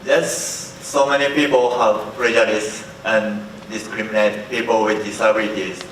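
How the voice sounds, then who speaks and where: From some distance in a heavily echoing hall, a man with a Japanese accent asks a question as an audience member. His voice is on the low side and his manner is polite. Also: steady tone, normal speed, fairly bright tone, a little hiss or hum. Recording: very echoey hall, distant mic.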